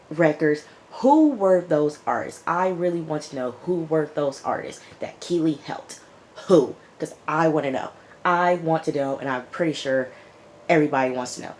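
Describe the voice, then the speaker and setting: A woman with a raspy, hoarse voice from recent illness, reviewing a TV episode in a casual vlog and repeating her points for emphasis. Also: deep voice, darkish tone, slight background noise. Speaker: YouTube TV reviewer.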